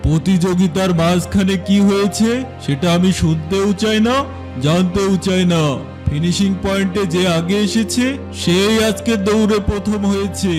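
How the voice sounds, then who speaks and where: Cartoon lion monarch, male actor: extremely high voice, stern and declaratory, music underneath. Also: steady tone, normal speed, darkish tone, lightly acted. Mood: formal, firm. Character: stern, authoritative lion king of the jungle. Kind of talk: children's cartoon voice acting.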